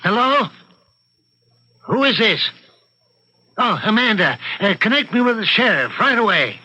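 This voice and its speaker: An actor playing a stern, suspicious, pedantic older husband of means in an old-time radio mystery. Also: male voice, sits high, big pitch swings, a little slow, pushed and bright, slightly rough, muffled recording, heavily acted. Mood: indignant, insistent.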